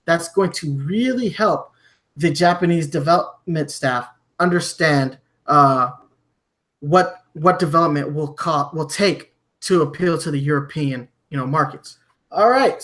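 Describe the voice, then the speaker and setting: A man from Germany hosting a podcast, discussing news conversationally with halting, repeated word starts. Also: on the high side, fairly bright tone. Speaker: podcast host.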